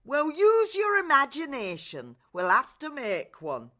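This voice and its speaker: A woman voicing a brisk, bossy fairy godmother in a radio play. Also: high voice, wildly swooping pitch, pushed and bright, pitched above the performer's own voice, full character voice. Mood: brisk, commanding.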